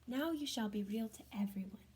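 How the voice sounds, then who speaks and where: A woman voicing a kindly female magic fairy from a children's storybook, gentle and reassuring. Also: fairly high pitch, moderate pace, natural voice.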